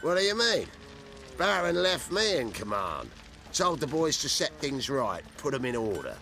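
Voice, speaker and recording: An actor playing a brutish soldier left in command in a fantasy game, sneering and swaggering. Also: male voice, mid-range voice, big pitch swings, normal speed, vocal fry, pushed and bright, faint music behind, exaggerated voice. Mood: defiant, scornful. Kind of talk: game cutscene acting.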